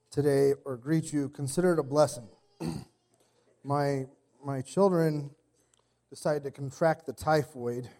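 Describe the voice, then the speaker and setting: A man in his thirties preaching a casual sermon while sick with a cold, his voice deeper than usual, with a slight cough and many fillers and restarts. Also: dark tone. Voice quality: extra deep voice today. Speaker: pastor. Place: church.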